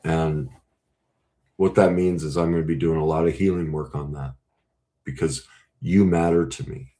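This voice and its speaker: A man speaking as a relationship coach, earnest and emphatic, breaking his talk into short, deliberate phrases. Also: extremely low pitch, steady tone, warm tone. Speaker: relationship coach and podcast host. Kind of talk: coaching talk to camera with role-played example conversations.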